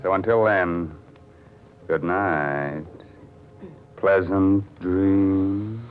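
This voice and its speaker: A ghoulish horror host of old radio, low-voiced and unhurried, relishing macabre puns in a mock-sinister way. The sound is tinny, with music playing clearly behind. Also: male voice, fairly even intonation. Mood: gleefully macabre. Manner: mock-sinister, drawn-out, theatrical. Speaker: host of a mystery radio show. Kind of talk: 1940s radio horror hosting.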